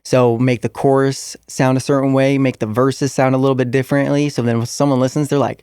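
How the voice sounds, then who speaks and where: A man's voice: medium pitch, little pitch movement, brisk, darkish tone, smooth, dry recording, clean studio sound. A young man, a musician guest in an interview, answering casually with many fillers and restarts.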